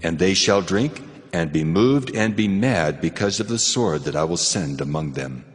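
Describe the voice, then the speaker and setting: An actor playing a stern, wrathful God who pronounces judgment in a solemn, commanding, measured way in a dramatized scripture reading. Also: male voice, sits low, animated. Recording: long cavernous reverb, music underneath.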